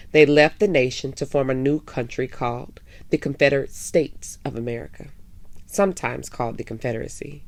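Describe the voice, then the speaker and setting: A woman with a low-pitched voice reads a children's picture book aloud as a story-time host. She speaks to young listeners in a measured way, stretching words for emphasis. The sound is dry, with very little room in it. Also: no echo.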